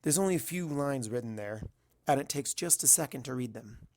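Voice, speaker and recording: A man's voice: medium pitch, lively intonation, brisk, darkish tone. A man reading a first-person story aloud as scripted narration. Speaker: fan fiction reader.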